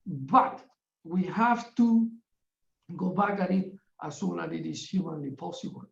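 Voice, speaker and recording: A middle-aged man from Ecuador gives a formal, earnest opening speech, pausing often with fillers. His voice has a gravelly edge and sounds roomy and set back from the mic. The sound is dull and muffled. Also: high voice. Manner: earnest, deliberate, halting with frequent fillers. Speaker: webinar chair, UN division director. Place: office in a large UN building. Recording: echoey, distant and dull-sounding.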